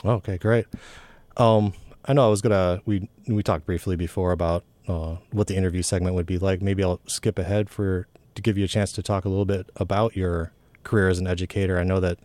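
A man hosts a casual interview, asking questions in a relaxed, easygoing way with small restarts. His voice is very deep and warm. The sound is dry and close. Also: brisk, dry recording. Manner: relaxed, conversational, with ums and restarts. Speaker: interview host.

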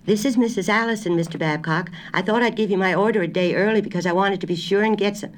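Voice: medium pitch, big pitch swings, a little fast, a little mellow. A reader voicing a patient, anxious city wife who speaks politely and placatingly, her voice raised a little.

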